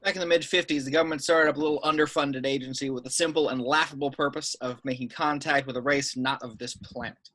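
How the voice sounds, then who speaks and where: A man's voice: mid-range voice, steady tone, fast talker, hardly any pauses, fairly bright tone, lightly acted. A man reading the part of a dry, knowing veteran secret agent in an amateur script read. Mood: dry, explaining.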